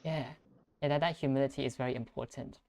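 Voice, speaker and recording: Casting: young male podcast host, mid-range voice, measured and earnest interviewer. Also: medium pitch, fairly even intonation, creaky, darkish tone. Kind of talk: conversational interview.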